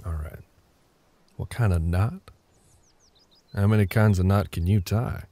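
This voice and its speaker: A man voicing a gruff, terse older cowboy with a Southern US drawl and a gravelly voice in a roleplay audio drama. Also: low voice, unhurried, mellow. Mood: matter-of-fact, instructing. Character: gruff older cowboy ranch hand, grumpy, curt, grudgingly helpful.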